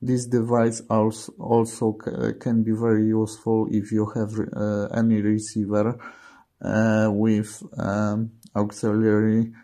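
Slow, monotone man with a foreign accent. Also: on the low side. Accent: non-native English. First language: not English. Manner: halting, hesitant delivery with broken-off words. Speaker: presenter of radio gadget reviews. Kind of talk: product demonstration narration.

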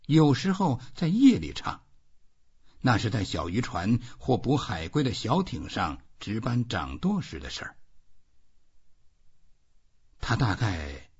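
Husky man reading with big pitch swings. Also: huge pitch swings, slow, long pauses. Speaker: audiobook reader. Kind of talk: expressive literary audiobook narration. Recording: dull, muffled lo-fi audio.

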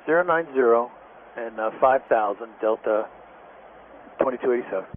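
A man's voice: medium pitch, moderate pace. A man, an airline pilot, giving casual readbacks over air traffic radio. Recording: tinny cockpit radio, faint noise.

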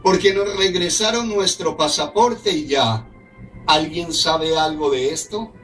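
A man's voice: a little high, measured pace, fairly bright tone, soft background music. Middle-aged man hosting a radio show from a small studio, earnest and indignant, a little far from the mic. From Colombia. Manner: earnest, indignant, speaks as a compatriot. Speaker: radio host. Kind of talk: radio talk show, reading a letter aloud. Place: small office studio with a desk.

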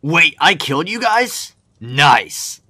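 A man's voice: a little high, big pitch swings, takes it slowly, sharp tone, no echo, full character voice. A man voicing a mischievous, gloating mad scientist villain in a fan cartoon, in a theatrical way. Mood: smug. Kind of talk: fan-film cartoon acting.

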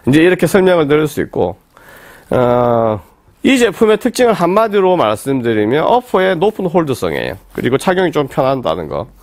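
A man in his forties with a cold, hoarse and rambling as he reviews football boots in a small indoor room. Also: on the high side, sing-song, brisk, a little mellow, dry recording. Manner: rambling, with many fillers and restarts. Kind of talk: casual product review talk.

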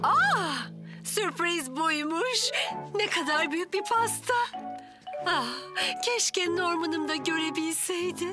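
A woman playing a doting, excitable mother in a dubbed children's cartoon, speaking in a dramatic, fussing way. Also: extremely high pitch, slow pace, over loud music, full character voice. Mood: surprised.

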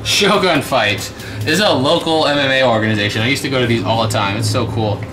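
A man's voice: mid-range voice, fast talker, over music, rough recording. A man in his twenties giving rambling, casual commentary to viewers while digging through a storage unit. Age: 20s. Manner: chatty, rambling, talks to viewers. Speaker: treasure-hunting vlogger. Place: indoors in a metal storage unit. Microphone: handheld camera mic.

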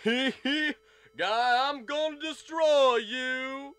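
A man's voice: very high-pitched, takes it slowly, fairly bright tone, smooth, no echo, full character voice. A man voicing a sneering villain boss who threatens the hero in a game cutscene. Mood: menacing. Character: squeaky menacing male villain boss.

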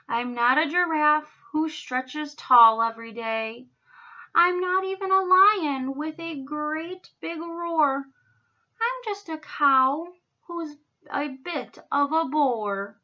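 A woman's voice: very high voice, sing-song, slow pace, voice pushed up, heavily acted. A woman voicing a meek, friendly, self-doubting female cow in a children's story, earnest and singsong, slightly dull recording. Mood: sad, downcast.

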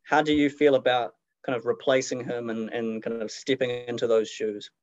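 A male reporter puts straightforward questions to a player. His voice is medium in pitch, and the recording sounds slightly dull and lo-fi. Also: level tone, normal speed. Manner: plain, direct questioning. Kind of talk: press conference questions.

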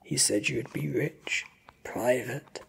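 A performer voicing a frightened, injured henchman who stammers and speaks in halting, strained bursts. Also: medium pitch, gravelly, slightly rough, exaggerated voice. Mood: nervous, pained.

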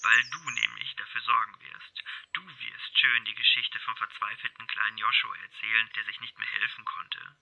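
Man acting a sharp-voiced, menacing swindler uncle. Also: fairly low pitch, fairly even intonation, normal speed, vocal fry, hardly any pauses, breathy edge, exaggerated voice. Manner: smug, taunting. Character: cold, menacing swindler uncle threatening his sister-in-law. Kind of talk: audio crime drama acting. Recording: dull, noisy, clicky recording.

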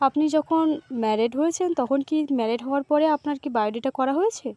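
A woman's voice: sits high, fast talker, warm tone, no echo, dull audio. A woman with a voice affected by sickness, speaking casually as a prank caller and vlogger. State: sick, voice gone bad. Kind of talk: casual prank call and chat to camera.

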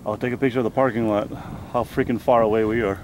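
A man's voice: fairly low pitch, fairly even intonation, fast talker, a little mellow, rough recording. Brief: young male vlogger, jokey and self-mocking, winded, recorded outdoors on a camera mic with audible background noise. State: out of breath. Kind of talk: casual hiking vlog. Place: outdoor canyon hiking trail. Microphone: handheld camera mic.

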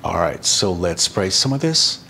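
Effusive low-voiced man reviewing fragrance. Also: very animated, measured pace, creaky, bright, forceful tone, slight background noise. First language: Farsi. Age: middle-aged. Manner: effusive, savoring descriptions. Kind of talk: enthusiastic product review to camera. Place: indoor room, seated.

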